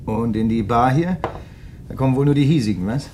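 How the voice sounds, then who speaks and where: An actor playing an inquisitive, persistent crime writer in a radio drama, brisk and questioning. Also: male voice, medium pitch, dark tone, a little hiss or hum, lightly acted. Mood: probing small talk. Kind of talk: German radio drama acting, conversational.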